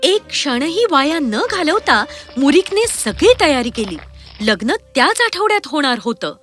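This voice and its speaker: A woman narrates a children's fairy tale with dramatic expression. Her voice is high and sweeps widely in pitch, with music clearly playing underneath. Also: very animated, normal speed, fairly bright tone.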